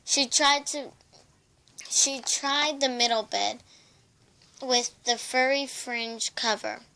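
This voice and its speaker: Young high-voiced woman reading a storybook. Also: steady tone, takes it slowly, vocal fry, bright, forceful tone. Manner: careful reading with stumbles and repeated words. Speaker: storybook reader. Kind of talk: children's storybook narration read aloud.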